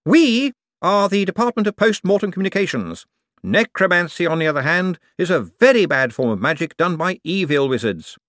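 A man doing a jittery, high-pitched wizard. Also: big pitch swings, a bit bright, dry recording, studio quality. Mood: flustered. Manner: flustered, hurried, over-explaining. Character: nervous, evasive male wizard bureaucrat, middle-aged. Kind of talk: audiobook character voice.

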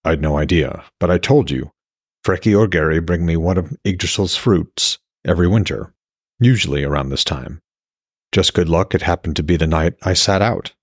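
A gentle, reassuring man of Norse fantasy, acted by a male reader, speaks low and soft. He coaxes and explains patiently in a husky voice, recorded dry for an audiobook. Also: normal speed, no room sound. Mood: reassuring, explaining.

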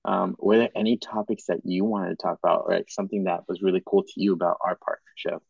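Chill young guy from madagascar hosting a podcast, lowish voice, kinda dull dry lo-fi sound. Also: fast talker, vocal fry, slightly rough, dry recording. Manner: relaxed, says bro and dope a lot, thinks aloud with restarts. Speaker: podcast host. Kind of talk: casual podcast chat.

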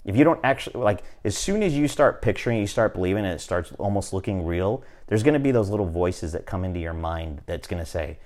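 A man in his fifties hosting a podcast, talking in a relaxed, motivational way and often checking in with "right?". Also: deep voice, fast talker, few pauses. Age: 50s. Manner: conversational, repeats "right?" often, restarts phrases. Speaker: podcast host. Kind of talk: casual motivational chat.

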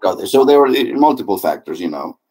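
Deep-voiced middle-aged man, friendly and talkative. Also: very animated, brisk, dark tone, slight background noise. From Greece. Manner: friendly, talkative, frequent fillers. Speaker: guest, happiness author and speaker. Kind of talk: conversational interview answers.

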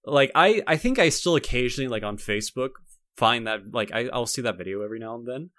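A young man co-hosting a podcast, reminiscing casually in a halting, rambling way with frequent repeated words. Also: medium pitch, expressive, fairly bright tone, dry recording, clean studio sound. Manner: halting, repetitive, rambling delivery. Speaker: podcast co-host.

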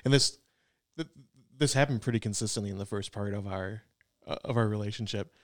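A man from Wisconsin hosting a podcast, talking things through in a measured, thoughtful way and repeating words as he goes. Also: on the low side, no room sound, clean studio sound. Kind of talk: casual podcast chat.

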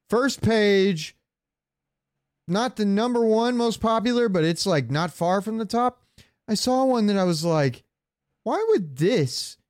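A man's voice: high voice, a little mellow, no room sound, clean studio sound. A man chatting casually and crudely as a podcast co-host, swearing freely. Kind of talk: casual banter about game sounds.

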